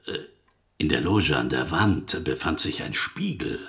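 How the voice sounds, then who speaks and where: An actor playing an apologetic, obsequious hotel waiter in a radio play, speaking hesitantly. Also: male voice, a bass voice, expressive, unhurried, vocal fry, a bit bright, heavily acted. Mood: deferential. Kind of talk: literary radio play acting.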